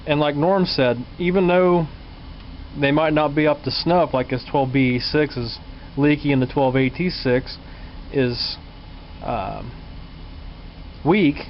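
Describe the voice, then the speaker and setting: Man, hobbyist vlogger, casual, plain-spoken, unscripted, muffled camera audio, background hum. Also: medium pitch. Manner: plain-spoken, folksy, informal. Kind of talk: casual unscripted show-and-tell to viewers. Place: indoors at a home workbench. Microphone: handheld camera's built-in mic. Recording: muffled lo-fi camcorder sound, clear hum.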